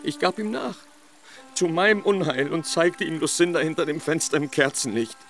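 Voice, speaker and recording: A man's voice: fairly high pitch, moderate pace, fairly bright tone. An actor playing a heartbroken young nobleman in a radio play, recounting his misfortune in ornate, impassioned words over music. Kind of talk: German radio play acting.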